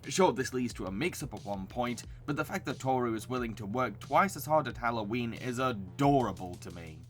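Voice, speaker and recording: A man's voice: mid-range voice, expressive, brisk, faint music behind. A man reading scripted narration as a reviewer presenting cartoons. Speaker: video essayist reviewing cartoons.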